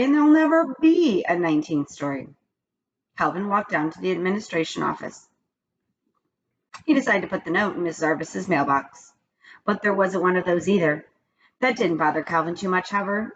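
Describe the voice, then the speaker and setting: Casting: woman storybook reader, lower-pitched and very varied in intonation, on a muffled recording. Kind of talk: expressive read-aloud of a children's book. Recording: dull lo-fi recording with background noise.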